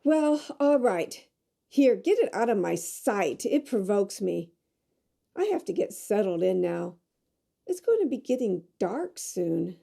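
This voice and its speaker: A woman's voice: mid-range voice, very animated, measured pace, vocal fry, dark tone, full character voice. A woman acting a stubborn, self-reliant woman in an audio drama, with an emphatic, headstrong way of talking. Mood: insistent.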